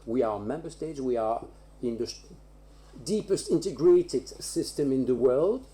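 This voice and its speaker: A man with a warm, dark tone takes part in an academic round table. His pitch is average and he talks emphatically, going back over words to press his point. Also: lively intonation, measured pace. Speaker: academic panelist.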